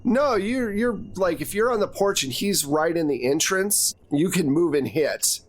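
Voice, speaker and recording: Higher-voiced man running a game. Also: animated, a little slow. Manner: casual, conversational, vivid descriptions. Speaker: game master. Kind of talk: narrating a tabletop game, improvised.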